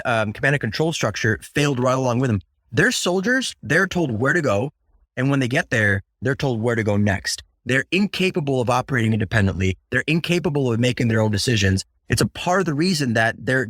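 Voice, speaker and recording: A man's voice: mid-range voice, fairly bright tone, dry recording, studio quality. A Ukrainian-born man, a podcast guest, talking earnestly and often repeating words as he searches for the right phrasing. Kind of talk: conversational interview.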